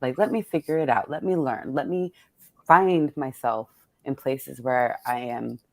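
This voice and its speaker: Middle-aged woman, very deep voice, candid reflective chat, thinking aloud, dry recording. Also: measured pace, darkish tone, no echo. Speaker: poet hosting a virtual book event. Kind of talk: casual reflective conversation.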